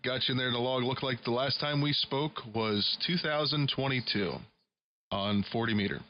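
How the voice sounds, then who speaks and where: A man's voice: medium pitch, moderate pace, a little hiss or hum. A man chatting casually as an amateur radio operator, his processed voice coming over a single-sideband radio link. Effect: single-sideband radio transmission with processed audio. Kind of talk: casual ham radio chat. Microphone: studio broadcast microphone. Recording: dull, band-limited shortwave radio audio.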